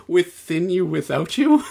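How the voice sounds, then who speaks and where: A man's voice: a little slow, gravelly. A man in his forties hosting a casual video call conversation on a headset from a room at home, with dry humor. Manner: dry, wry humor with lively pitch swings. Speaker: host.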